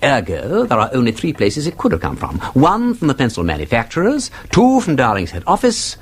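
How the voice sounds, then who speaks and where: Breezy, swooping aristocratic gentleman sleuth. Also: mid-range voice, huge pitch swings, fairly quick, slight background noise. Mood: deducing briskly. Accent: upper-class English. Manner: theatrical, playfully pedantic, flourishing delivery. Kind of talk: British radio drama acting.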